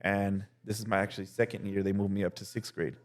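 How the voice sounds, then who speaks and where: A young male teacher telling casual stories as a podcast guest, relaxed and full of "like" and "dude". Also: lowish voice, fast. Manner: relaxed, heavy use of "like" and "dude". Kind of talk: casual storytelling chat.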